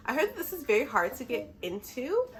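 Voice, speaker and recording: A woman's voice: high-pitched, wildly swooping pitch, brisk, noisy background. A woman who is a little sick chats casually and enthusiastically to camera as a book vlogger, in an informal way.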